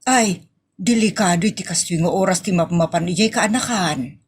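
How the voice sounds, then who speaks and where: A woman's voice: fairly low pitch, moderate pace, breathy edge. A woman playing a scolding, meddling aunt in a comedy audio drama, with an Ilocano accent and a nagging, exasperated manner.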